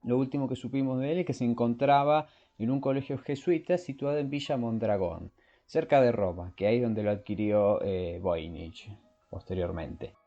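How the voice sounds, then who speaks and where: A man hosting a podcast, explaining things informally and often pausing to search for his words. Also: medium pitch, dark tone, lo-fi sound. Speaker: podcast host. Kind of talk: scripted intro and informal explanatory talk.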